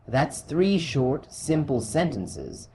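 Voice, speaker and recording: A British woman with a very deep, mellow voice narrates a scripted language lesson. She speaks in measured, clearly phrased sentences with an encouraging manner. Some background noise sits behind her voice. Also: big pitch swings. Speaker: English teacher.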